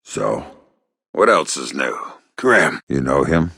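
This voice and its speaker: A gruff, menacing covert operative from a video game, middle-aged and wry. His voice sits extremely low and rumbles with gravel, often sinking into a breathy growl. He delivers terse, deadpan, sardonic lines in a dry, close recording. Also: slow, dry recording, full character voice.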